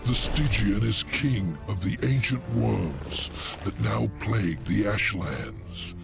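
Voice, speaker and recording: An actor playing a sly, menacing imprisoned demon lord in a video game, with theatrical, taunting delivery. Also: male voice, fairly low pitch, slow, vocal fry, music in the background, full character voice. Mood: ominous. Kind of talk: video game cinematic acting.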